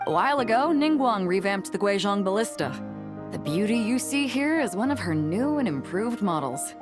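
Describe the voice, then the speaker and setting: A woman's voice: medium pitch, big pitch swings, normal speed, close to an everyday voice. A woman voicing a bold, hearty young female sea captain in a video game, with a confident, colloquial delivery. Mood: proud. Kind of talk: video game character voice acting. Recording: music playing behind.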